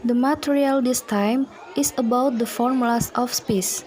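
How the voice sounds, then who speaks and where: A woman's voice: a little high, fairly even intonation, moderate pace, a little mellow, lightly acted. A young woman playing a cheerful, motherly homeroom teacher in a student-made radio drama. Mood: kindly. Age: young adult. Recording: noisy amateur recording, faint chatter.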